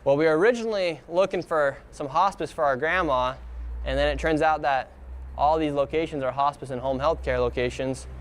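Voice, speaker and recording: A young man walking city streets on camera, reading out names and figures in a deadpan way. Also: a little high, fairly quick, smooth. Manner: deadpan, reads figures aloud. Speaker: on-camera investigator. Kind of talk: walking documentary narration.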